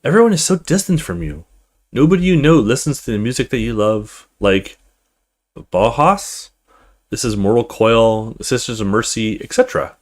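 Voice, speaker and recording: A man's voice: mid-range voice, lively intonation, normal speed. A man doing a let's play, reading a horror text game aloud dramatically, stumbling now and then and adding casual asides. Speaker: video game streamer.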